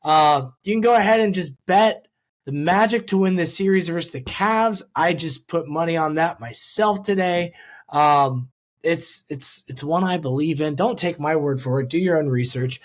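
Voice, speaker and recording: A man's voice: fairly high pitch, moderate pace. A man hosting a sports podcast, talking casually and informally with an upbeat fan's manner. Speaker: podcast host. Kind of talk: casual podcast intro and ad reads.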